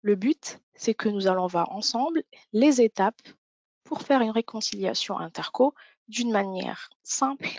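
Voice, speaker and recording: Female webinar presenter, mid-pitched with noticeable creak, methodical explanatory delivery, very dry close sound. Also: lively intonation, a little slow, a little mellow, no echo. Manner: step-by-step explanatory. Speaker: software demo presenter. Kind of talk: instructional webinar walkthrough.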